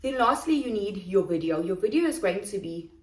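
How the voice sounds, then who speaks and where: A woman's voice: mid-range voice, expressive, fairly quick. A woman with a Durban South African accent explaining in a casual, chatty vlog how to start coaching English online, checking in often with "okay?". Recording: echoey room.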